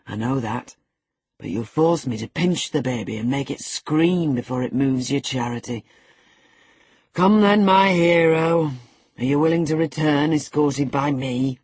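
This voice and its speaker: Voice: medium pitch, normal speed, breathy edge, dry recording. A narrator acting Heathcliff, a menacing, sneering man. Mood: domineering, sardonic. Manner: sneering, commanding, alternately muttering and shouting. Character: Heathcliff, menacing, sardonic, domineering middle-aged man.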